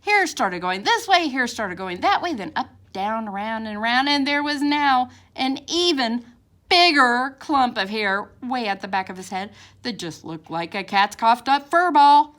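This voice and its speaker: A woman reading a picture book aloud to young children in a theatrical, expressive storytime style with drawn-out words and sound effects. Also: fairly high pitch.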